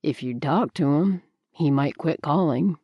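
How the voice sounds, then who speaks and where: A woman reading the part of a blunt, sarcastic young man who needles his friend, in a deliberately lowered voice. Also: husky, no room sound, dull audio, full character voice. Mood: needling. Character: brash, teasing young man, male friend.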